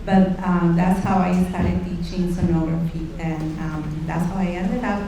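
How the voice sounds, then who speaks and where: A middle-aged woman giving a measured, somewhat hesitant talk to an audience about her own career as an educator. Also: fairly low pitch, warm tone. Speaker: university sonography educator. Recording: very echoey room, distant mic, faint noise.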